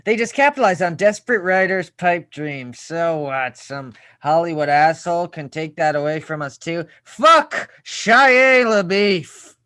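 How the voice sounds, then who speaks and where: A man's voice: a little high, lively intonation, unhurried, few pauses, bright, forceful tone, dry recording. Angry obsessive male writer, acted by a man, bitter and ranting, dry table-read sound. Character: angry, obsessive young male failed screenwriter. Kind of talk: screenplay table read acting.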